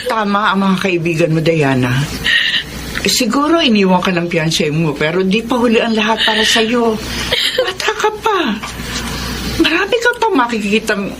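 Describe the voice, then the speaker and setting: A woman's voice: medium pitch, big pitch swings, normal speed, noisy background, natural voice. A woman with a Filipino accent playing a caring, worried middle-aged mother in a radio drama. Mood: gentle concern.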